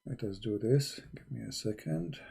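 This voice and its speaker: Male host with an Arabic accent, dark husky voice, pushy debate style. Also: mid-range voice, moderate pace. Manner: insistent, reads out sources, talks over the caller. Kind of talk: live-stream debate.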